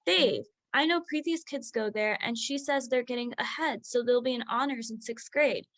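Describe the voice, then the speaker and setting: A woman acts out an overworked doctor mom in a contemporary drama reading. Her voice sits fairly high, and she is brisk, proud and quick to push back, insistent about what she wants. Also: fairly bright tone. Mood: brisk, probing.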